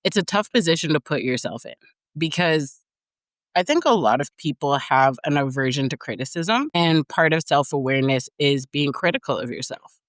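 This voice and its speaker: A co-host on a podcast, talking in a reflective, measured way, with plenty of "like" and "mm-hmm". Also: medium pitch, animated, a bit bright, no echo, clean studio sound. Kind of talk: casual conversation on leadership.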